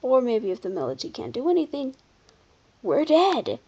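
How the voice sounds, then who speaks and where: A commentator giving casual, unscripted video commentary in an informal, halting way, often backtracking. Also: high-pitched, wildly swooping pitch, mellow, breathy edge, muffled recording. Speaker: video creator presenting a ranking.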